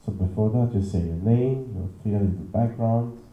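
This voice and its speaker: A man facilitating a group workshop, giving loose, halting instructions in a conference room. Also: on the low side, phone-quality sound. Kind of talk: leading a group round. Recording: roomy echo.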